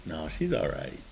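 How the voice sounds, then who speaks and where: A man's voice: fairly high pitch, gravelly, noisy background. An older man with grandkids co-hosting a talk show, wry and needling in his banter. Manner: wry, needling, self-deprecating. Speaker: co-host.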